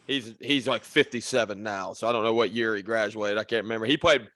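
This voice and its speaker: A young man hosts a podcast in an upbeat, loose way, quick to hype his guest. The recording is dry and close, with almost no room sound. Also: fairly low pitch, fairly bright tone, no echo. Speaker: podcast host. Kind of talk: casual chat.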